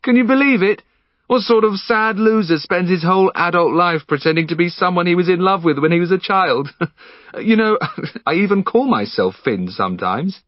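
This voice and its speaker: A man voicing a manic, unkempt young man who is obsessed with his old friend, talking in a high, frantic, giggling way. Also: expressive, normal speed, no echo. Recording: slightly dull dry studio recording.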